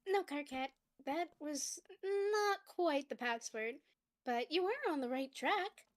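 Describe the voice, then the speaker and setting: A woman's voice: sits high, expressive. Woman reading a crude teasing troll. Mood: mocking. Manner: blunt, mocking, unhurried.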